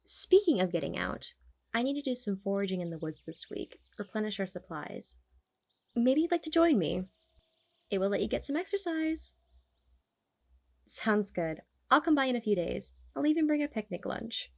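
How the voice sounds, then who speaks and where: An actress playing a kind but stubborn female healer in a werewolf pack, brisk and teasing. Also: mid-range voice, sing-song, vocal fry. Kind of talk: audio roleplay.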